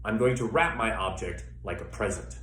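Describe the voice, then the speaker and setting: A man working as a theater educator, guiding listeners through an exercise with measured, step-by-step instructions and pauses. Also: on the low side, a bit bright. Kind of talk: instructional demonstration, guided exercise. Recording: echoey room with faint background noise.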